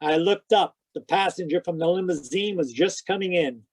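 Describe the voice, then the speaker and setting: An actor playing a wry, hard-boiled private detective in a 1940s radio style, with clipped, theatrical delivery. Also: male voice, sits high, moderate pace, dry recording, poor audio. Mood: brisk, triumphant.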